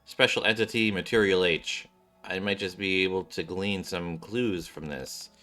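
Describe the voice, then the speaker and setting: Bright-voiced man reading game script aloud. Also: sits low, steady tone, moderate pace. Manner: stumbles and corrects himself while reading. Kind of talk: live read-along of game script. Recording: background music behind the voice.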